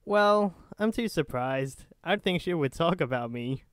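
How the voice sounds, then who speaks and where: A man's voice: on the high side, lively intonation, unhurried, fairly bright tone, clean tone, no echo, clean studio sound. A man playing a nosy, easygoing young friend in an ASMR roleplay, casual and teasing.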